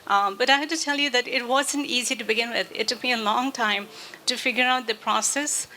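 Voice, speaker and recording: Female author on a festival panel, thoughtful, halting delivery, bright tone. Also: on the high side, a little hiss or hum. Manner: reflective, many fillers.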